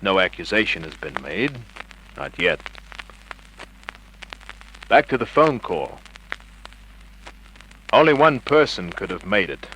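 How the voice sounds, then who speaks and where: An actor playing a cool, wisecracking private investigator in a clipped, commanding vintage radio crime style. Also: male voice, low-pitched, bright, forceful tone, heavily acted, rough recording. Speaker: lead actor and narrator. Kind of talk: 1950s radio crime drama acting, hardboiled narration. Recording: old radio recording with hiss.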